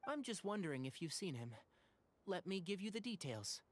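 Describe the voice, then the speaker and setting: An actor playing a polite, friendly but cautious young traveler in a video game, courteous and earnest. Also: male voice, high-pitched, very animated, normal speed, a little hiss or hum.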